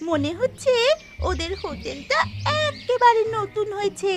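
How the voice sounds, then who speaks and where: Loud music runs under a very high, childlike voice. It is a cheerful young cartoon schoolgirl, eager and expressive, who takes her words slowly. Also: full character voice. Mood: eager, friendly. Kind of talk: cartoon voice acting.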